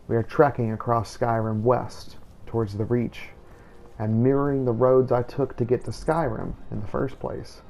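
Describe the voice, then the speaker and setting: A man's voice: mid-range voice, vocal fry, dark tone, poor audio. A man narrating a video game roleplay playthrough in a scripted, storytelling style. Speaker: host of a game playthrough series.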